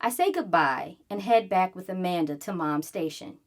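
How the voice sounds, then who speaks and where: A woman reading a children's book aloud in an expressive, animated way, acting out the story as she goes. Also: on the low side, moderate pace.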